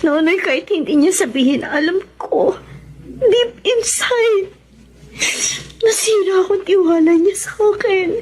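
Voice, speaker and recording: An actress playing a remorseful young escort with a Filipino accent in a radio drama, speaking in a tearful, halting, melodramatic way. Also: female voice, very high voice, darkish tone, a little hiss or hum. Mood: sad, subdued. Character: remorseful young escort woman confessing betrayal to her lover. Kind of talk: Tagalog radio drama acting.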